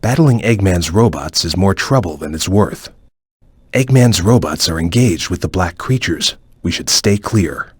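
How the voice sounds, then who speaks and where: A man voicing a stern, watchful cartoon ninja guide in a video game, with a measured, formal, cautious way of advising. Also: low voice, expressive, breathy edge, dry recording, clean studio sound, exaggerated voice. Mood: watchful, serious. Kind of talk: video game voice acting, short hint lines.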